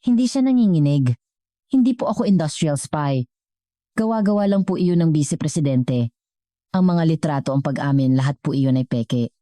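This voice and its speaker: A woman's voice: deep voice, lively intonation, normal speed, warm tone, dry recording, clean studio sound. Woman voicing an earnest, polite teenage shoeshine boy. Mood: defiant.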